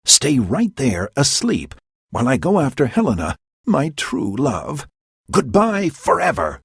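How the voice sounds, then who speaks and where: A man voicing a fickle, scornful young man who declares melodramatically, with a breathy voice. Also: a little high, huge pitch swings, a little slow, no room sound, studio quality, voice pushed up, exaggerated voice.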